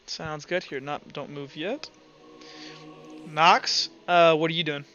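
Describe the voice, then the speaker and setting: A man's voice: a little high, fairly even intonation, slow pace, sharp tone, highs cut off, soft background music. A man acting as game master in a casual, informal tabletop roleplay session over voice chat. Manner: informal, swearing. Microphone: online voice call.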